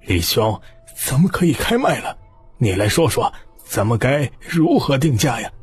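A man voicing an excitable, scheming clan elder with an eager, gloating manner in an audiobook. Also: medium pitch, moderate pace, husky, faint music behind.

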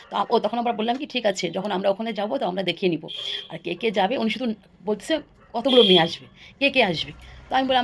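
A woman's voice: medium pitch, vocal fry. A woman tells a story casually to viewers as a vlogger, rambling and repeating phrases for emphasis.

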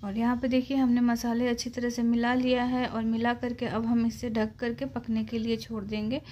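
A woman's voice: a little high, warm tone, slight background noise. A woman with an Indian accent giving a step-by-step cooking tutorial voice-over as a home cook. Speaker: home cook presenting a recipe.

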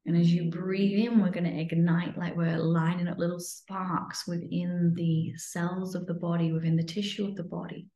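A woman leading a guided breathing meditation in a soothing, instructional way, sometimes dropping to a breathy near-whisper. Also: fairly low pitch, flat delivery, moderate pace, hardly any pauses, warm tone. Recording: muffled, lo-fi, roomy echo.

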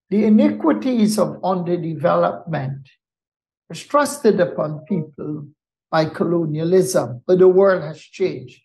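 Formal summit speaker from Guyana, a man with a mellow voice, measured delivery over a video call. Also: high-pitched, low-quality recording. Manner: measured, formal, multilingual greetings. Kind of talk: prepared talk on a video call.

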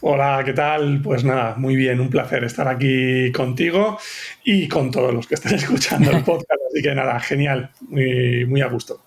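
A man's voice: medium pitch, normal speed. A man in his forties from Madrid, with a Madrid Spanish accent, chatting casually as a guest on a video call, rambling and laughing often. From Madrid, Spain. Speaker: guest photographer. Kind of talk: casual conversation. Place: home room. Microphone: video call, webcam.

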